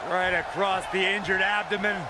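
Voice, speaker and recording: A man's voice: high voice, flat delivery, moderate pace. A man commentating on a wrestling match in punchy, clipped phrases. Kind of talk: sports commentary. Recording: loud noisy arena crowd. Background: roaring arena crowd.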